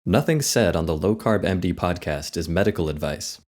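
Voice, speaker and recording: A man reading a scripted show introduction and disclaimer slowly and deliberately. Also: low voice, a little mellow. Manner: slow and deliberate. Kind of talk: scripted announcement.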